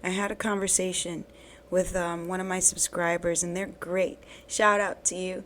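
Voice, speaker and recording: A woman hosting a bible reading channel, talking earnestly and encouragingly to her viewers while her voice is hoarse from recent illness. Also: fairly low pitch, level tone, moderate pace, fairly bright tone, slight background noise. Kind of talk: casual devotional talk to camera.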